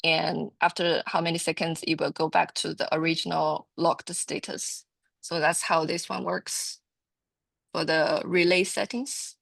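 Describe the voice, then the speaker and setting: Low-pitched woman presenter with a creaky voice. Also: flat delivery, unhurried, a bit bright, no echo. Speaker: product trainer presenting a company's devices. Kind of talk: informal product presentation.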